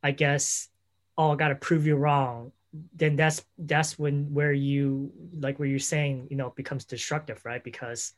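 A man's voice: mid-range voice, level tone, measured pace. A man interviewing a guest in casual conversation, rambling through his questions and leaning on fillers like "you know" and "right?".

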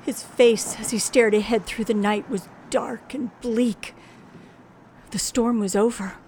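A woman's voice: on the high side, fairly even intonation, measured pace, darkish tone, a little hiss or hum, close to an everyday voice. An actress playing a frightened woman narrating her own ordeal in a 1940s-style radio thriller, dramatic and suspenseful. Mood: tense, shaken. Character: frightened young woman narrator held captive by killer. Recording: old radio drama with music behind.

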